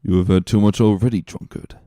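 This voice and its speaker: Calculating male villain acted by a man narrator, sly, emphatic, mellow-toned, for audiobook drama. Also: fairly low pitch, fairly even intonation, a little fast, no room sound, studio quality, natural voice. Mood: scornful.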